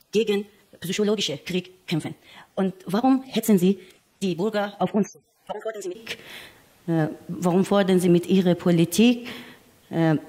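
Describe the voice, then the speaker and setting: A young woman, an audience member asking a question, halting and searching for words. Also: mid-range voice, warm tone. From Afghanistan. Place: TV studio audience, indoors.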